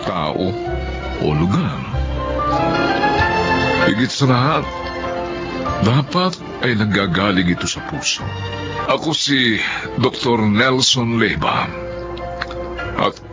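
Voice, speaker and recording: A man acting an earnest, dignified widowed doctor in a Filipino radio drama monologue, solemn and measured. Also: lowish voice, sing-song. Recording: muffled, loud music behind.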